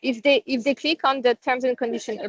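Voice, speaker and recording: Female call presenter, non-native English, slightly high voice, informal and explanatory, very little room sound. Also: fairly even intonation, brisk, slightly rough, no echo. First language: not English. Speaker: presenter leading a company conference call. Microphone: online conference call. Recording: dull, dry online call audio.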